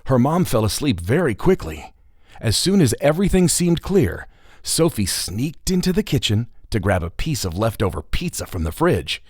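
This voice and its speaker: A man's voice: mid-range voice, very animated, normal speed, husky, dry recording, clean studio sound. A man narrating a scripted children's adventure story.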